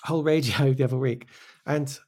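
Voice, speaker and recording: A man with an English accent, from Wales, giving casual, reflective interview answers as a show creator, often halting and restarting with real conviction. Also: mid-range voice, fairly even intonation, a little mellow. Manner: halting, self-interrupting, passionate about own work.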